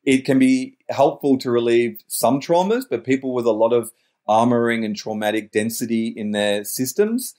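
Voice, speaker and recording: A man's voice: medium pitch, normal speed, warm tone. A middle-aged man talking in a wordy, searching way as a podcast guest, often correcting himself mid-sentence. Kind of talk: reflective interview talk.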